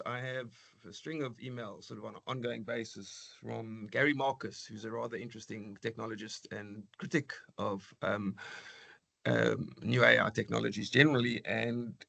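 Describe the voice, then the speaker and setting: A man with a croaky voice asking a long, rambling question on a seminar video call, often repeating words. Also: medium pitch, pushed and bright, dry recording.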